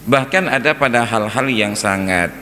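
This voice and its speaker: A man's voice: mid-range voice, normal speed, sharp tone. A man with an Acehnese accent giving an informal Islamic lecture, conversational, asking rhetorical questions and illustrating with everyday examples. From Aceh, Indonesia. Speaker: Islamic teacher giving a mosque study talk. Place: large reverberant mosque interior. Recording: echoey hall with background noise.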